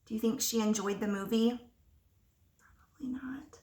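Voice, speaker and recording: A woman teacher reading a picture book aloud to young children, expressively, pausing to ask them simple questions. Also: a little high, steady tone, darkish tone. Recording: roomy echo, distant microphone.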